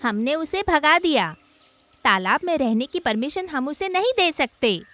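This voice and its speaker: A woman's voice: high-pitched, very animated, a little slow, a bit bright, exaggerated voice. A woman voicing a vain, contemptuous female fish in a children's cartoon story. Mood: indignant. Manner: contemptuous, haughty. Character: vain, mocking female fish, proud of her beauty. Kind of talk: Hindi children's animated story acting.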